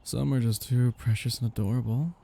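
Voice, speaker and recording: A man playing a possessive, condescending vampire master who croons and teases slowly in an intimate ASMR roleplay. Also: mid-range voice, fairly even intonation, natural voice. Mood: smug, adoring. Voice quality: husky, gravelly, dark. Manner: slow, crooning, teasing, condescending.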